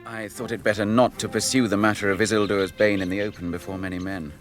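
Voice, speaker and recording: An actor playing a grave, noble young captain grieving his brother, in measured, archaic speech for a fantasy radio drama. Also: fairly low pitch, level tone, faint music behind, lightly acted. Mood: grave, probing.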